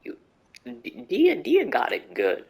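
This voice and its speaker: A man's voice: high voice, huge pitch swings, takes it slowly, gravelly, a little mellow. A young man giving casual, joking reaction commentary as a game streamer, slangy and repeating phrases for emphasis. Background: game music.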